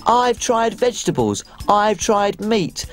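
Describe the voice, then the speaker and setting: A man's voice: high-pitched, big pitch swings, slow pace, fairly bright tone, faint music behind, heavily acted. A man voicing a flustered castle cook in a children's TV story, with a rhyming, hand-wringing complaint.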